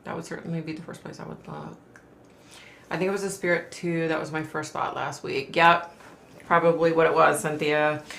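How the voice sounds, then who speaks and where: A middle-aged woman hosts a chatty livestream, rambling as she reads viewer comments aloud. Her voice sits low and gravelly with a bright edge, and it is going hoarse. She is recorded in an echoey room with faint ambient noise. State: losing her voice. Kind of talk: casual livestream chat.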